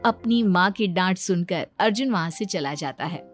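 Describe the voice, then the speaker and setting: A woman's voice: fairly low pitch, animated, normal speed. A woman narrator reading a scripted moral story. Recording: music behind the voice.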